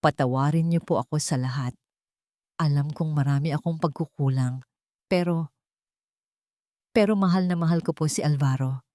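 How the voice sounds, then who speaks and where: Filipina narrator doing a humble wronged wife in a love story, low breaking voice, halting and pleading. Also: female voice, warm tone, dry recording, studio quality, lightly acted. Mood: tearful pleading. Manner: halting, deferential. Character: wronged young wife feigning tearful, humble submission.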